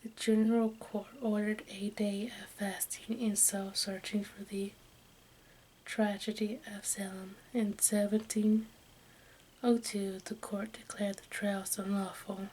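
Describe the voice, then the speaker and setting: A woman reading aloud in a breathy whisper for ASMR, haltingly and with small stumbles over the words. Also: mid-range voice, poor audio. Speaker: host of an ASMR channel. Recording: steady background noise, room ambience.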